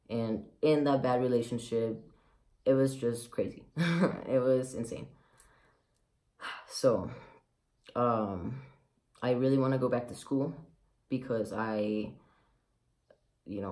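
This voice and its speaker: A twenty-year-old man with a light Mexican Spanish accent talks straight to camera in a casual personal vlog. His voice sits at an ordinary middle pitch, and he speaks openly, rambling and filling gaps with "um" and "like". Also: a little mellow. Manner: candid, rambling, many fillers. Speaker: vlogger.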